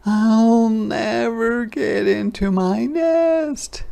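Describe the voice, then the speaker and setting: Man acting little female hedgehog, anxious, wailing, high voice, children's storybook character. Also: drawn-out words, gravelly, dark tone, clear, resonant tone, heavily acted. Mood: dismayed. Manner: wailing, exclaiming plaintively. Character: small female hedgehog, anxious and easily upset.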